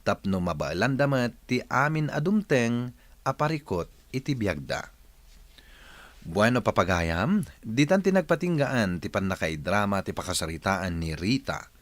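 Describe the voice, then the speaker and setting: A man with an Ilocano Filipino accent hosting a radio drama, reading scripted narration in a measured, emphatic, expressive way. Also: lowish voice, no echo.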